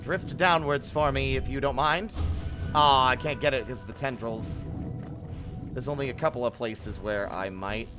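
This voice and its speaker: A man's voice: mid-range voice, moderate pace, music in the background. Musing male game streamer. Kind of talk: casual live game commentary.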